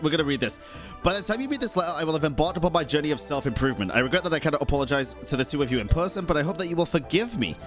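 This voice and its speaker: Young male streamer, informal and reactive, medium pitch, dry close mic, faint game music behind. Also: no room sound. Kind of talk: casual live gameplay commentary, reading dialogue aloud.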